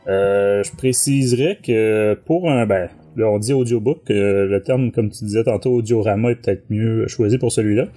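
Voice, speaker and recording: A man's voice: lowish voice, normal speed, few pauses, dark tone, faint music behind. A man with a Quebec French accent, casually discussing a book as a podcast co-host. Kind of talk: casual chat.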